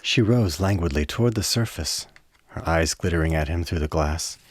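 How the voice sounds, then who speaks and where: A man narrating a scripted drama in a measured storytelling manner. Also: low voice, no room sound.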